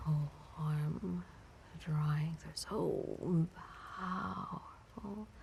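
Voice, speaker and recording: An ancient vampire mistress acted by a woman speaks low and very slowly in an intimate audio drama. She is imperious and predatory, drawing out words in a seductively menacing, breathy half-whisper. Faint ambient noise sits behind her voice. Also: steady tone, a little mellow, heavily acted. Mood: darkly hungry. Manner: slow, theatrical, breathy half-whispered delivery with drawn-out words. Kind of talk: intimate scripted audio roleplay monologue.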